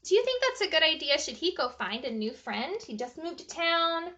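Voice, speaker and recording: A woman reading a bedtime story aloud to children in an animated, chatty way, pausing to ask the listeners questions. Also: very high-pitched, dull audio.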